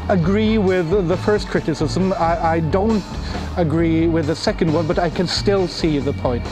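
A man's voice: on the high side, moderate pace. A middle-aged man talking casually and hesitantly in an interview. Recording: music behind. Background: music.

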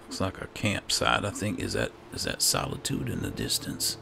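A man's voice: deep voice, wildly swooping pitch, normal speed, gravelly, noisy background. A man giving casual gameplay commentary, muttering to himself as he thinks aloud. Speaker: gamer narrating a playthrough.

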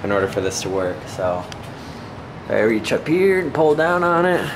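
A man's voice: mid-range voice, very animated, moderate pace, noisy background. A man giving casual, unscripted vlog narration in an informal, hesitant way, as if thinking aloud. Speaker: home gym vlogger. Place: concrete basement home gym, indoors. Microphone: handheld camera mic, operated by speaker.

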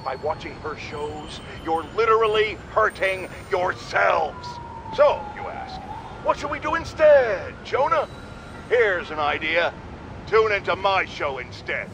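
A man's voice: sits high, lively intonation, a little slow. An actor playing an irascible, self-important male radio pundit in a video game, bombastic and sarcastic as he rants to his listeners. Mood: scornful, then smug. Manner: bombastic, sarcastic, exaggerated indignation. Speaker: radio talk show host. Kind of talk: opinionated radio rant. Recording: clear background city noise from gameplay.

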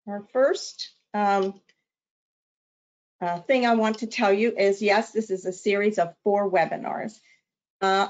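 A woman's voice: medium pitch, muffled recording. A woman product trainer presenting a webinar in an upbeat, conversational way with some fillers.

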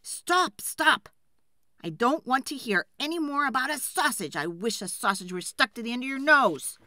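A woman's voice: high voice, expressive, moderate pace, sharp tone, no echo, clean studio sound, pitched above the performer's own voice. A woman voicing a meek, apologetic male woodcutter in a children's folk tale, hesitant and pleading. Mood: fed up. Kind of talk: storybook character voice.

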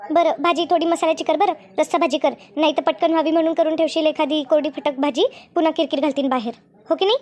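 A woman voicing a bossy, sly elder sister-in-law in a cartoon family drama, brisk and repetitive as she instructs. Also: high voice, steady tone, darkish tone, close to an everyday voice. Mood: bossy, coaxing. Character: bossy, two-faced elder sister-in-law running the kitchen.

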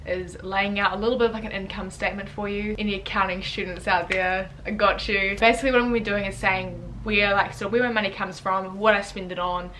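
Young New Zealand woman, student vlogger, rapid chatty delivery full of "like", faint room hiss behind. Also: medium pitch, steady tone, a bit bright, low-quality recording. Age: young adult. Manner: chatty, fast, lots of "like" fillers, gestures with hands. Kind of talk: casual chat to camera. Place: bedroom, indoors. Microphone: camera in front at chest distance.

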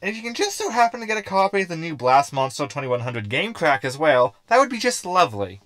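A man's voice: on the high side, very animated, fast talker, fairly bright tone, no room sound, studio quality. A man playing a sarcastic, cranky robot crewmate in a sci-fi comedy audio drama, wordy and mocking.

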